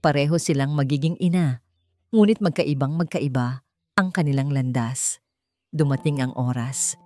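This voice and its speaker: A woman's voice: a bass voice, moderate pace, darkish tone, dry recording, clean studio sound. A woman reading a scripted love story as a dramatic narrator. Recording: music behind the voice. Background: background music.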